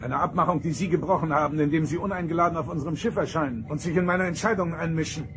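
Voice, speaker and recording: Guy playing firm principled starship captain, voice a bit high, radio drama with music under it. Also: racing, close to an everyday voice. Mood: indignant. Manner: firm, formal, authoritative.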